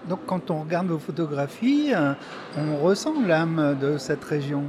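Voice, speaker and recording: Intervieweur à la voix haute, bruit léger. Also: male voice, a little high, animated, measured pace, noisy background. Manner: brief, guiding questions. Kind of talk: interview questions.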